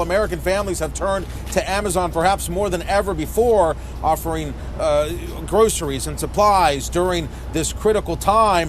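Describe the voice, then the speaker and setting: A man's voice: sits high, a little hiss or hum. A man reporting live as a TV news reporter from outdoors in the city. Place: outdoors near a city construction site.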